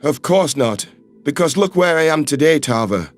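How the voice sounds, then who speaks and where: A man voicing a calm, earnest human man who speaks in firm, measured, reassuring tones. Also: on the high side, soft background music, pitched below the performer's own voice, close to an everyday voice. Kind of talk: audiobook character voice.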